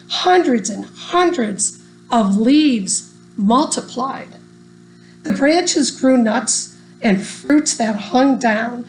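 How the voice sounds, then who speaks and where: A woman reading a rhyming children's story aloud in an expressive, deliberate storytelling manner. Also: a little high, a little hiss or hum, poor audio. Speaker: storybook reader.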